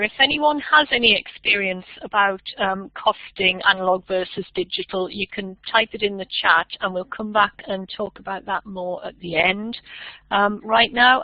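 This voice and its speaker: A woman's voice: a little high, creaky, no room sound. A woman chairing a webinar, politely relaying questions and handing over to speakers, on dull lo-fi audio. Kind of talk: moderating a webinar.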